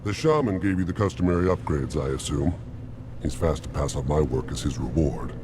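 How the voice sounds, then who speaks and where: Casting: arrogant alien warrior scientist for a game, deep and irritable, noisy rumble behind. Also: male voice, lively intonation, a little fast. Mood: haughty, dismissive. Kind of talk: video game character acting.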